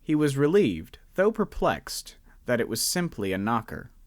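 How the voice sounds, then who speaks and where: A man narrating a radio drama in a measured, expressive storytelling manner. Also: medium pitch, no echo, studio quality.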